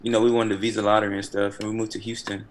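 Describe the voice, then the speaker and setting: A man from Nigeria calls into an online chat, speaking in a friendly, relaxed way. He often repeats words as he gets his thoughts out. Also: on the low side, racing. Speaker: caller. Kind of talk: casual chat.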